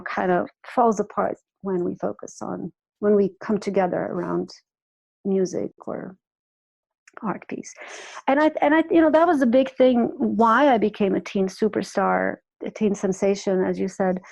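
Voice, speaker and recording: A woman in her forties, originally from Croatia, chatting as a podcast guest. Her voice has a gravelly edge and she talks warmly, restarting sentences as she goes. She sits at medium pitch for a woman. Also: rough recording. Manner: warm, chatty, frequent restarts and laughs. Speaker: guest, music artist and life coach. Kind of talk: casual podcast interview.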